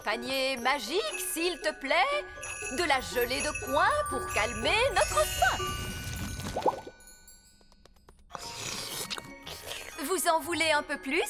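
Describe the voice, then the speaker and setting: A woman's voice: high voice, wildly swooping pitch, measured pace, long reverb tail, loud music behind the voice, heavily acted. A woman voicing a bossy, confident fairy nanny in a children's cartoon, with a theatrical, fussy manner. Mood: breezy, excited. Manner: theatrical, self-assured, fussy.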